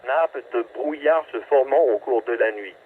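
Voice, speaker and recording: Casting: male automated weather announcer, mid-pitched, clipped scripted delivery, heard through a narrow, noisy radio channel. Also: a little slow. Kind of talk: scripted weather forecast reading. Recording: tinny phone-like weather radio, static noise.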